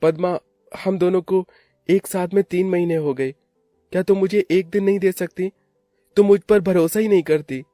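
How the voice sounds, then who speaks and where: Man putting on a high-pitched sly young lover. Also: moderate pace, warm tone, dry recording, studio quality, soft background music, natural voice. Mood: coaxing.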